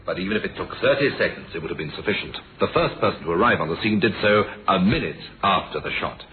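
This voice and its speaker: An actor playing a calm, shrewd male police inspector in a radio mystery, explaining in a deliberate, measured way and pressing his point. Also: low-pitched, slightly rough, heavily acted. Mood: pressing, probing. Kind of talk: 1970s radio mystery drama acting. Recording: muffled, noisy old radio drama recording.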